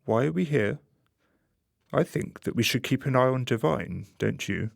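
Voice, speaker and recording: A man playing a sly, wry narrator of a satirical radio play, confiding in the listener in a deliberate way. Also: medium pitch, expressive, gravelly, no room sound, studio quality. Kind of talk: scripted dramatic narration.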